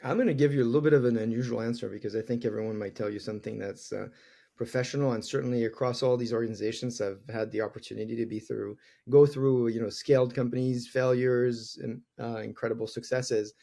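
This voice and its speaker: A man's voice: mid-range voice, steady tone, fast, gravelly, dark tone. A man in his forties from France with a French accent, a tech executive giving earnest, reflective answers as a guest in an interview. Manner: earnest, reflective, laughs easily.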